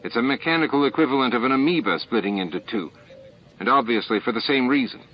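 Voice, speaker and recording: A man's voice: mid-range voice, fast talker, natural voice. Practical, plain-spoken ship's engineer done by a male reader, lo-fi muffled recording. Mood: baffled, impressed. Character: practical male chief engineer, frank and admiring. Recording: muffled, band-limited tape audio.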